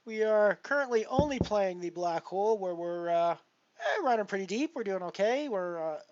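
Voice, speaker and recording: A man's voice: high-pitched, expressive, moderate pace, a little mellow. A man in his fifties with a Canadian accent from Alberta, casually commentating his poker stream and greeting viewers by name. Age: 50s. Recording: dull lo-fi stream audio with faint noise.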